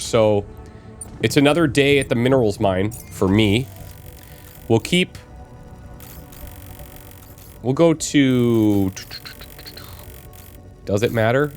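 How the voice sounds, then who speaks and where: A man with a slight cold and a touch of rasp talks through his game plan, thinking aloud with plenty of fillers. Faint music plays underneath. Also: mid-range voice. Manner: musing aloud, planning with fillers. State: slight cold, a bit raspy. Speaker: let's play host. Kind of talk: casual gameplay commentary.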